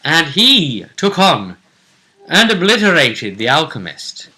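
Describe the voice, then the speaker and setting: A man hosting a casual recap of a tabletop role-play tournament, telling it like a story with deliberate phrasing. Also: on the high side, sing-song, sharp tone, rough recording. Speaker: host.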